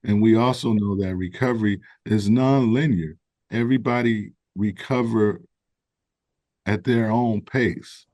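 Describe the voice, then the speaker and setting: A man speaking as an interview guest, explaining his ideas in an earnest, measured way, correcting himself as he goes. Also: fairly low pitch, a little mellow, dry recording, lo-fi sound. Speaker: guest, founder of a recovery organization. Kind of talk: conversational interview.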